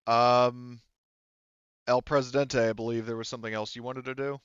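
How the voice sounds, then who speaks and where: Very clear-voiced male game master. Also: mid-range voice, little pitch movement, moderate pace, fairly bright tone, no room sound, lo-fi sound. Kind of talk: casual moderation of a tabletop game.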